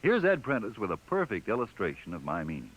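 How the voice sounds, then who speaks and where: A man's voice: on the low side, wildly swooping pitch, fast talker, a little hiss or hum. A man reading a 1950s radio commercial in a chummy, persuasive way, talking straight to listeners. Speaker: commercial announcer. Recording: dull old radio broadcast.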